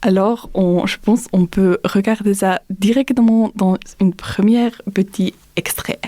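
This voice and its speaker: A young woman student hosting a podcast discussion, speaking slowly and carefully. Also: medium pitch, fairly even intonation, warm tone, no room sound, clean studio sound. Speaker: host and student.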